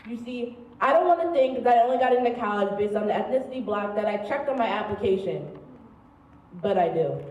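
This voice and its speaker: Mellow young American woman, echoey stage monologue. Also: on the high side, expressive, fairly quick, recorded from a distance, lo-fi sound. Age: young adult. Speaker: student spoken-word performer. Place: stage in a large room. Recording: echoey stage, picked up from afar.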